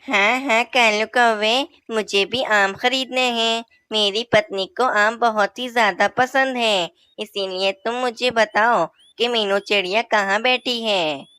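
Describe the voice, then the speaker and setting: A woman's voice: a little high, measured pace, hardly any pauses, sharp tone, smooth, no room sound. A woman voicing a nervous male parrot in a cartoon, worried about pleasing his wife. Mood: eager. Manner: fretful, pleading. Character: anxious henpecked male parrot.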